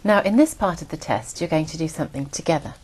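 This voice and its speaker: A woman acting as examiner in a speaking exam, giving formal, measured instructions. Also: sits low, expressive, a little mellow, slight background noise, rough recording. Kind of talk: scripted exam prompts.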